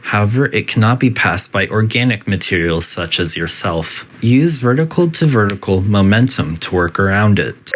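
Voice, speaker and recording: A synthetic voice playing a politely detached, quietly menacing artificial intelligence that makes formal, deadpan announcements in a video game test facility. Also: sits low, normal speed, hardly any pauses. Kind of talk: scripted game announcer narration.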